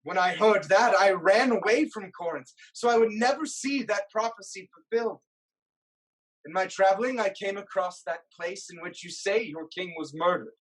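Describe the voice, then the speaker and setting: Someone acting a haunted greek king, husky and grave, slow weighty dramatic lines. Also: medium pitch, natural voice, rough recording. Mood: grave, brooding. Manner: grave, deliberate, declamatory. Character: anguished proud king of Thebes, haunted by prophecy. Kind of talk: dramatic reading of Greek tragedy.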